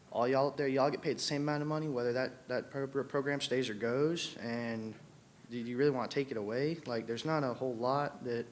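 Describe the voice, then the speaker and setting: A man's voice: mid-range voice, a little hiss or hum. Pleading young man, casual and plain. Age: late teens. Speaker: student speaker. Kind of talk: plainspoken public comment.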